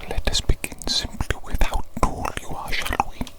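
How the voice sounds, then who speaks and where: A man voicing a gentle, fussily precise evil doctor in a soothing whispered ASMR roleplay, repeating his words and phrases. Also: normal speed, lightly acted. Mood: soothing, attentive.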